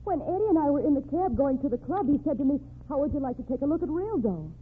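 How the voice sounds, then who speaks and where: A woman's voice: high-pitched, animated, fast talker. An actress playing a loyal, determined young American working girl in an old crime radio drama, earnest and plain-spoken. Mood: insistent. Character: loyal, determined working-class young woman, gangster's sweetheart. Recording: tinny noisy old radio recording.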